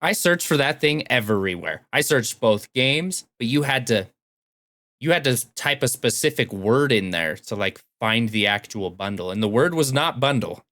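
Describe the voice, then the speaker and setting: A man's voice: mid-range voice, animated, moderate pace, a bit bright, no room sound, studio quality. A man chatting casually about games as a podcast co-host. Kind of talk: casual video game podcast chat.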